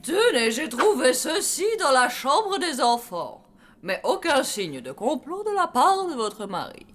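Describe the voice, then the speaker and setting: A woman's voice: sits high, big pitch swings, measured pace, fairly bright tone, exaggerated voice. A woman voicing a vain, playful lady ghost who talks grandly. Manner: grand, amused, chatty.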